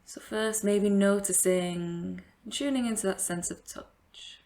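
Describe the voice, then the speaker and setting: A woman leading a guided meditation as a yoga teacher, giving gentle, invitational instructions with long pauses. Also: medium pitch.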